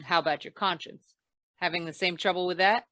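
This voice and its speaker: A woman playing a deadpan, hard-boiled female investigator in an old-time radio crime noir, with dry, world-weary delivery. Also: on the low side, fairly quick, dry recording, lightly acted. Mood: cool, probing. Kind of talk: 1940s radio noir narration and dialogue.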